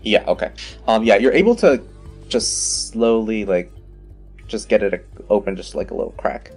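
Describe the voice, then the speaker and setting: A man's voice: fairly low pitch, moderate pace, a little mellow. A man running a tabletop fantasy game as game master, describing scenes in a conversational way. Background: music.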